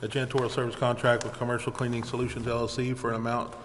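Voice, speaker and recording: A man's voice: medium pitch, flat delivery, racing. A man presiding over a formal council meeting, reading out procedure and items of business. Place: indoor council chamber. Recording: echoey chamber, background noise.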